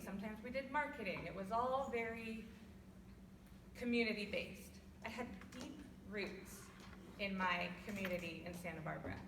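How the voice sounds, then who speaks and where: A young woman from California with a loud voice, giving a personal talk to an audience in a church hall without a microphone. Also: on the high side, background noise. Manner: loud, laughing, self-deprecating. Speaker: guest speaker. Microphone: no microphone, far from recorder. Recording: echoey hall from far off.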